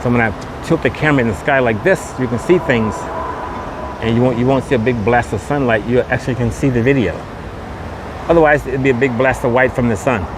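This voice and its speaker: A man's voice: medium pitch, fast. Man narrating outdoors over street noise. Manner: repetitive tour-guide patter. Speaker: podcast host and vlogger. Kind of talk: casual walking tour narration. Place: outdoors on a windy street at a shopping mall. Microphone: camera microphone with a wind guard.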